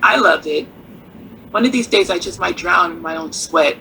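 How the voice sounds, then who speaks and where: An actress playing a poised, worldly nightclub singer in a radio drama, with theatrical, wryly warm delivery. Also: female voice, fairly low pitch, lively intonation, vocal fry, a little hiss or hum, exaggerated voice, rough recording. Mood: confident. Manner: theatrical, unhurried, uses endearments like darling and honey.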